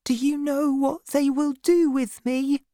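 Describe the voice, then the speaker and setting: A woman's voice: high voice, fairly even intonation, slow, dark tone, dry recording, studio quality, voice pushed up. A woman voicing a frightened, tearful and polite young boy in a storybook reading. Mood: anxious.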